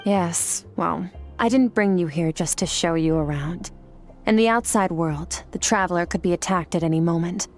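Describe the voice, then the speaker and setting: A cool, detached woman mentor, voiced by an actress with a lowish voice, keeping every word curt and businesslike. She explains things calmly and without warmth, over faint game music. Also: moderate pace, lightly acted. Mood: explaining. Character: aloof, cool-headed woman mentor from the Abyss. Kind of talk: video game voice acting.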